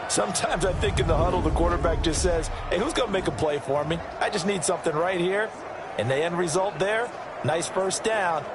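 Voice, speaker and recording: A man's voice: high-pitched, brisk, background noise, natural voice. A man with an American accent giving folksy, conversational football analysis as colour commentator in a video game. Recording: game audio over loud music.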